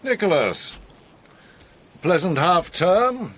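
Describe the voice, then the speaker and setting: An actor playing a manipulative, eccentric older man in a radio drama, measured, formal and theatrically commanding. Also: sits high, very animated, a bit bright, full character voice. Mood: measured, knowing. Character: manipulative, eccentric, authoritative older male host, a self-styled psychiatrist.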